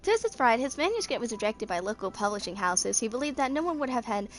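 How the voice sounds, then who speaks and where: A woman in her twenties with a fairly high voice reads lore text aloud. Her delivery is that of an amateur narrator, a little halting, with a tendency to trip on longer words. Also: sing-song. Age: 20s. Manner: halting reading, stumbling over longer words. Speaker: video creator reading game character lore.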